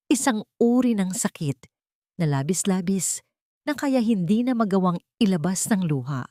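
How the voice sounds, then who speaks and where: Woman narrator, lowish pitch, dramatic scripted storytelling, dry sound. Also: a little mellow, no echo.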